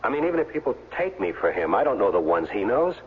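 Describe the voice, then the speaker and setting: An actor playing an uneasy, plain-spoken former athlete hired as a stand-in, informal and earnest, in a 1970s radio drama. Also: male voice, on the low side, big pitch swings, fast, husky, phone-quality sound. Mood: anxious. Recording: thin, hissy old radio broadcast.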